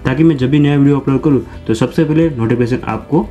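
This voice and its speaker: A man's voice: mid-range voice, fast talker, dark tone, lo-fi sound, soft background music. A young man hosting his own unboxing channel, walking viewers through a product in a repetitive, explanatory way and calling them friends. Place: small room indoors.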